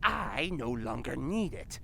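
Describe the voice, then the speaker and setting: Demon granny: deep, wildly swooping delivery, ominous and grand, audio drama. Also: female voice, sing-song, takes it slowly, gravelly, pushed and bright, a little hiss or hum, exaggerated voice. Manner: grandiose, doom-laden pronouncements. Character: ominous, menacing demon old lady, apple seller.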